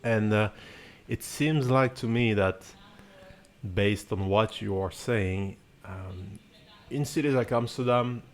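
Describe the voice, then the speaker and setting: A man's voice: lowish voice, slow pace. A man from Cameroon who moved to France as a child, hosting a conversational podcast interview in an earnest, reflective way. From Cameroon, then France from about age seven. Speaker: podcast host.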